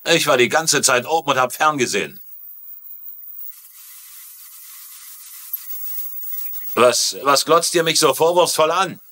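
A man's voice: on the high side, normal speed, bright, forceful tone. An actor playing a hot-headed, defensive father in a dubbed TV mystery, speaking bluntly and irritably. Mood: indignant. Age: middle-aged. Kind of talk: dubbed TV mystery drama acting.

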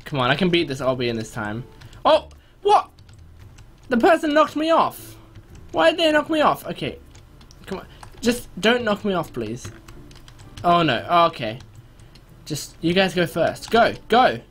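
Excitable young man, higher-pitched, gaming commentary. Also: a little slow, pauses often. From born in New Zealand, spent first three years there. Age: young adult. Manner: animated, self-interrupting, prone to exclamations. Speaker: gaming video host. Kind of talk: casual, excitable gameplay commentary. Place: small room at home, indoors.

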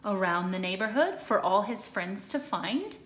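A woman reading a picture book aloud to children in an expressive, deliberate way, recorded in an echoey room. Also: medium pitch, a little hiss or hum. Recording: echoey hard-floored room.

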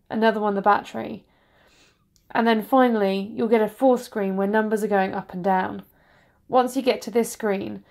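A woman with a gravelly, creaky voice reads a scripted voice-over. She gives slow, careful directions one step at a time. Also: medium pitch, darkish tone. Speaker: project presenter.

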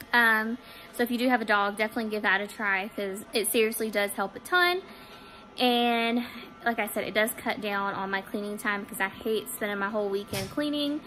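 A woman's voice: on the high side, a little hiss or hum, rough recording. A young woman vlogging from her living room couch, talking casually to a close phone camera with plenty of ums. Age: young adult. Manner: chatty, relaxed, frequent ums. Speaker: home cleaning vlogger. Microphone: phone camera held close.

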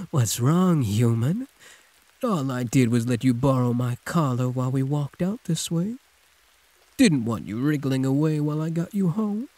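A man voicing a huge, possessive, teasing werewolf prisoner with a husky, breathy drawl, in an intimate audio roleplay. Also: mid-range voice, animated, unhurried, mellow, dry recording. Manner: drawling, teasing pet names, predatory purr.

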